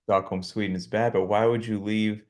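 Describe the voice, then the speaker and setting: An American man with a fairly low voice, hosting a relaxed interview over a video call. He asks his questions in a friendly, chatty manner, often circling back and rephrasing as he goes. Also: brisk. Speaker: interview host.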